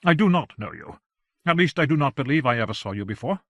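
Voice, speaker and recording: A man voicing an anxious, mild-mannered gentleman schoolmaster in an audiobook, protesting politely with flustered indignation. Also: medium pitch, very animated, quick pace, dry recording, pitched above the performer's own voice. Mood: flustered, indignant.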